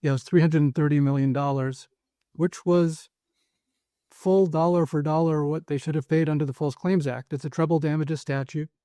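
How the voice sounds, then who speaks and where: Man, slightly high voice, careful and measured guest, dry recording. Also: fairly even intonation, dark tone, no echo. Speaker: guest, former prosecutor. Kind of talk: measured interview answers.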